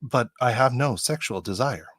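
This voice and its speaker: A man playing a husky, literal-minded android. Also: medium pitch, animated, moderate pace, fairly bright tone, no room sound, studio quality. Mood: puzzled, literal. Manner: formal, precise, no contractions. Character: polite, literal-minded male android officer.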